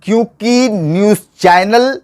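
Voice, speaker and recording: A man's voice: sits high, slow pace, darkish tone. A man hosting a political commentary show, speaking to viewers in an earnest, rhetorical and formal oratorical way. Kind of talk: scripted news commentary addressed to viewers.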